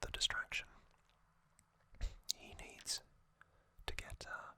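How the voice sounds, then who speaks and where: Slow, halting ASMR whisperer. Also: bright, forceful tone. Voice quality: breathy unvoiced whisper. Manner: slow, halting, pausing between short phrases. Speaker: ASMR host. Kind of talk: whispered ASMR recap narration.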